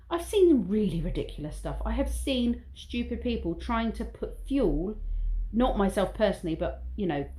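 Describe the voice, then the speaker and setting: A woman's voice: mid-range voice, dark tone, poor audio. A woman talking casually to camera in a vlog, chatty and prone to rambling. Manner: rambling, chatty, self-aware waffling. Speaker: vlogger.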